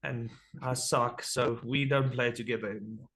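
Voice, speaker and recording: Casual interview host, South African man, relaxed and jokey, swears freely. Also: medium pitch, normal speed, poor audio. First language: Afrikaans.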